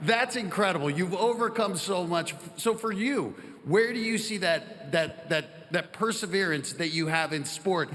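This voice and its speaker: High, bright-voiced male moderator on stage. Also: animated, measured pace, few pauses. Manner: enthusiastic, joking. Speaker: panel moderator. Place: stage in a large hall. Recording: long hall reverb.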